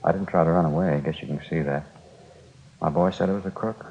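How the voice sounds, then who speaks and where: A man's voice: deep voice, fairly even intonation, fast. An actor playing a weary, heartbroken crook in his fifties in 1950s radio drama, pleading plaintively and repeating himself. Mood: dejected, hurt. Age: 50s. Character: weary, heartbroken fifty-five-year-old robbery mastermind abandoned by his son. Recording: dull, noisy vintage radio broadcast.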